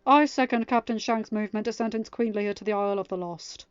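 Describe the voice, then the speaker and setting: A woman's voice: mid-range voice, fast, clear, resonant tone, no room sound, lo-fi sound, lightly acted. Woman as a blunt, dry male councillor. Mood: blunt, firm.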